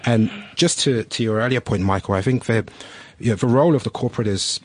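A man's voice: medium pitch, fairly quick, no echo. A man who grew up in the UK, speaking as an entrepreneur on a discussion panel in a thoughtful, explaining way. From United Kingdom. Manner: thoughtful, explanatory, frequent "right?" tags.

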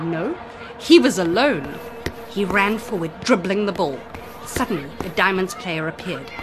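A woman narrates a children's story with a very lively, swinging pitch and dramatic emphasis. Her voice sits over clearly audible ambient noise.

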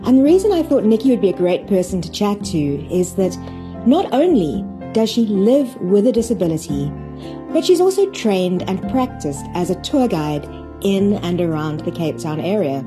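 A woman's voice: mid-range voice, sing-song, normal speed. South African woman podcast host, music behind. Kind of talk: scripted podcast introduction and interview.

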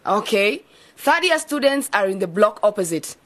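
A woman's voice: mid-range voice, big pitch swings, normal speed, fairly bright tone. A woman playing a flippant, informal young student in a radio drama. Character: flippant young female student in campus dorm.

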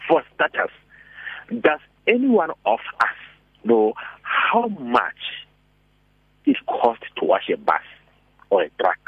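Raspy man on phone. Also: medium pitch, slow pace, pauses often, no room sound. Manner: animated, persuasive, asks rhetorical questions. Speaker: radio guest speaker. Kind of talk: motivational phone-in talk. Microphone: telephone. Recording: tinny dry phone line.

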